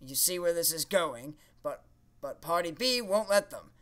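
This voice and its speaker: Man doing a bright, sharp, sarcastic professor puppet. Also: on the high side, expressive, moderate pace. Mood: exasperated sarcasm. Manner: sarcastic, blunt, exasperated. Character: jaded, scornful male creative writing professor puppet. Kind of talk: puppet show comedy acting.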